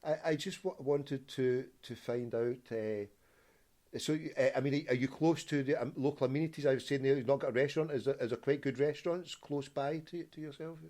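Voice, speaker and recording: A man with a Scottish accent rambles through a prank phone call. He hesitates and stammers over his words, at an ordinary middle pitch. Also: brisk, warm tone. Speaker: prank caller.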